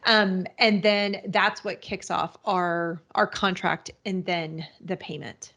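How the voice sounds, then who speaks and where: A woman's voice: fairly low pitch, fairly even intonation, slow pace, bright, forceful tone. A woman guest on a podcast, explaining her business in a rambling, casual way with many restarts and fillers. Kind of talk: casual interview answers.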